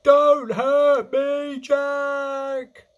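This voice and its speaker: Screeching, terrified brute of a giant. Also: male voice, very high-pitched, completely flat delivery, dull audio, voice pushed up, exaggerated voice. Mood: desperate pleading. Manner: bellowing, screeching, begging in drawn-out cries. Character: huge brutish male giant, terrified, pleading in a nightmare.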